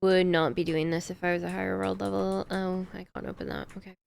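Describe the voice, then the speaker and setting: A woman's voice: fairly low pitch, flat delivery, brisk, gravelly, darkish tone, slight background noise. A woman streamer chatting casually to herself while she plays a game. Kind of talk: casual gameplay commentary.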